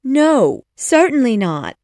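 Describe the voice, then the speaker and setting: A woman's voice: fairly high pitch, very animated, slow, no room sound, studio quality, pitched above the performer's own voice. A woman voicing a loving, firm and protective mother in a children's audiobook.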